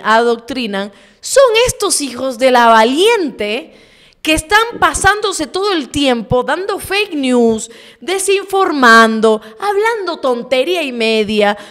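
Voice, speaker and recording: Ecuadorian woman host in her thirties, high voice, angry emphatic commentary over a desk mic. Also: animated, a little slow, a bit bright. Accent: Ecuadorian Spanish. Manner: indignant, emphatic, swears. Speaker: show host. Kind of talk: heated political commentary. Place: home studio, indoors. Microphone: desk mic on stand, video call.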